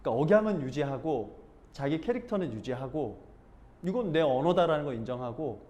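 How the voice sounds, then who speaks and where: A man from Busan teaches a speech and expression class in a relaxed, conversational way. His voice sits a little high for a man and moves through a very wide, lively pitch range. He keeps breaking into acted-out snippets of dialect. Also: a little fast. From Busan, South Korea. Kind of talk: informal class talk.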